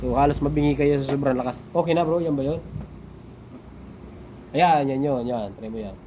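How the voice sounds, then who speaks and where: A man's voice: mid-range voice, moderate pace. A man with a Filipino accent hosts a hands-on tutorial in casual, informal chat, addressing viewers like buddies. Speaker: tutorial host. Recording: noisy vehicle and street ambience.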